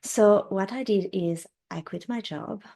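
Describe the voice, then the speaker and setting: A woman in her thirties from France with a French accent, a guest telling her own health story in an earnest, measured way. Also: mid-range voice, gravelly, warm tone. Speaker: guest, women's health specialist. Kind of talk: interview, personal storytelling.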